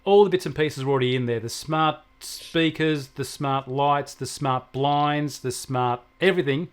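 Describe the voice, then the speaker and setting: A man's voice: mid-range voice, moderate pace, smooth. A man explaining things conversationally as a technology co-host, telling anecdotes from his own work. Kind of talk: conversational tech discussion.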